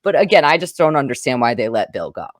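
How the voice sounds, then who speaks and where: A woman's voice: very deep voice, big pitch swings, fast, no room sound. Dry-recorded woman hosting a film chat. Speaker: podcast host, film reviewer. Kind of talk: casual film discussion.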